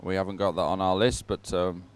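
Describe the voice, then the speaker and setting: A man presenting live sports commentary, pausing as he goes and adding upbeat, sentimental asides. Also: low-pitched, level tone, smooth. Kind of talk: live commentary on a dragon boat regatta.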